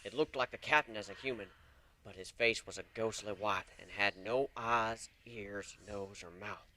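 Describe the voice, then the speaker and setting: A man giving a dramatic reading of a story, hushed and suspenseful. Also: fairly low pitch, moderate pace. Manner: hushed and suspenseful, rising to shouts in tense moments. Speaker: reader. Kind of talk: dramatic reading of fan fiction.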